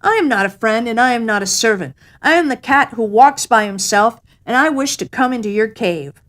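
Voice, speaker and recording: A woman's voice: fairly high pitch, lively intonation, a bit bright, voice pushed up. A woman voicing a proud, cunning male wild cat in a storybook, declaiming in a haughty way. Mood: haughty, angry. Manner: haughty, declaiming, pleading with drawn-out words.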